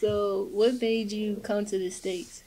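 A woman hosting a casual interview, asking questions full of fillers and quick affirmations. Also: mid-range voice, flat delivery, warm tone, a little hiss or hum, low-quality recording. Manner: frequent fillers, many short affirmations and laughs. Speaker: interview host.

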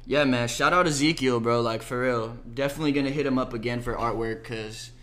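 Young male musician, soft-spoken and slangy, relaxed casual chat. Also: medium pitch, a little fast, a little hiss or hum. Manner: laid-back slangy talk, lots of "like" and "bro". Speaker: musician talking about his EP.

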